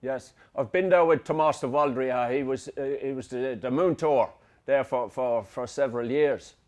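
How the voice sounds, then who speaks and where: A man's voice: medium pitch, normal speed. A man interviewing filmmakers, asking questions in a hesitant, stumbling way. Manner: hesitant, stumbling over words.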